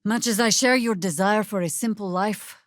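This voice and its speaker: An actress playing a seasoned older female druid warrior in a fantasy video game, measured, wry and commanding. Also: medium pitch, no echo, clean studio sound, lightly acted. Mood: grave, measured.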